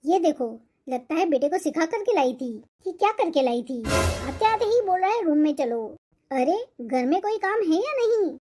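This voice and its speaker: A woman's voice: very high voice, animated, moderate pace, background noise. A woman voicing a nagging older mother-in-law in a cartoon family drama, with a complaining manner. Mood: scolding. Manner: scolding, complaining.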